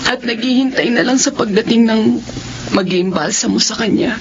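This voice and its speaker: Actress as high-voiced grieving widow. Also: female voice, moderate pace, natural voice. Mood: subdued sorrow. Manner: mournful, gentle. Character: sorrowful, newly widowed godmother. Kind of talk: radio horror drama acting. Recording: dull lo-fi audio with clear noise.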